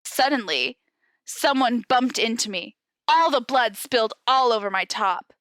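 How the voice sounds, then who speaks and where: A woman's voice: on the high side, animated, a little slow, fairly bright tone, no echo. A woman co-hosting a podcast, giggly and exclamatory as she chats and reads fanfiction aloud. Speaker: podcast co-host. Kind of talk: casual chat and giggly fanfiction read-aloud.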